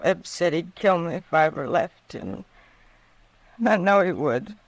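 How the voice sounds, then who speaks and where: An actress playing a dying, resigned young frontier wife in an old-time radio western, weak and halting. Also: female voice, on the low side, lively intonation, dry recording. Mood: sad, resigned. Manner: halting, faint. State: weak, fading.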